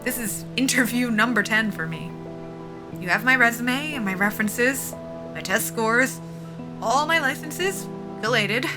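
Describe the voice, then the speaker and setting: A high-voiced female soldier in a cinematic audio drama, played by an actress, desperate and earnest. She talks in plain, informal words with a pleading edge, and music plays prominently behind her. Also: close to an everyday voice. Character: desperate, earnest young female military lieutenant at job interview.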